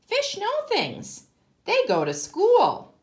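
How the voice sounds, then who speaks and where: A woman voicing an excitable, naive young male pollywog in a storybook, eager and trusting. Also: very high-pitched, slow pace, echoey room, pitched above the performer's own voice, exaggerated voice. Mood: confident. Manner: eager, wide-eyed.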